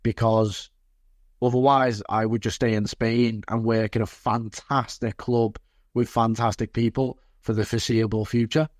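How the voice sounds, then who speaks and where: A man's voice: mid-range voice, level tone, normal speed, no room sound, studio quality. A man from Liverpool speaking as an interview guest and football coach, reflective and earnest, repeating phrases for emphasis. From Liverpool, England.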